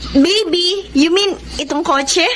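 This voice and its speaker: An actress playing an excitable, incredulous young woman in a light radio drama scene. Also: very high-pitched, slow pace, slight background noise, exaggerated voice. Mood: amazed.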